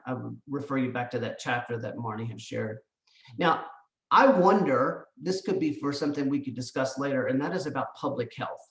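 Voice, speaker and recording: Man lecturing in an echoey room. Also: mid-range voice. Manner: explanatory, conversational asides, rhetorical questions. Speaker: presenter, education abroad specialist. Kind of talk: academic presentation, semi-scripted lecture. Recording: roomy echo, distant microphone.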